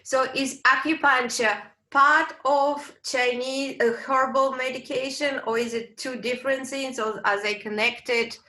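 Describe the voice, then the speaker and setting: A woman's voice: high voice, steady tone, measured pace, hardly any pauses, sharp tone, echoey room. A woman from Russia with a Russian accent, hosting an interview in a conversational way with questions and short acknowledgements. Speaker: interview host.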